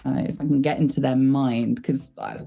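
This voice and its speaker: A woman with a low voice, a voice actor chatting casually in an interview, thoughtful and self-deprecating. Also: steady tone, moderate pace, vocal fry, warm tone, slightly rough. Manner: thoughtful, self-deprecating, laughs while talking. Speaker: voice actor guest.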